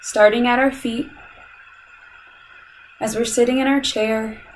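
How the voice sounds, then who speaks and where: A woman leading a guided meditation in a calm, soothing, measured way, leaving long pauses between instructions. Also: on the high side, slight background noise, poor audio.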